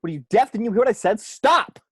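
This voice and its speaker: A man's voice: high-pitched, expressive, quick pace, slightly rough, no room sound, studio quality. A man playing an uptight, earnest grown father in a table read of a film script. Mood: exasperated.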